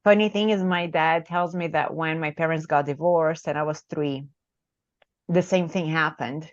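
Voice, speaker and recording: A woman's voice: sits low, steady tone, moderate pace, dry recording. A woman from Brazil with a Brazilian Portuguese accent, a therapist guest speaking reflectively and often adding "right?". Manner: reflective, tag questions like "right?". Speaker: marriage and family therapist, guest author. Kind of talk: casual interview talk. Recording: dull, dry lo-fi call audio.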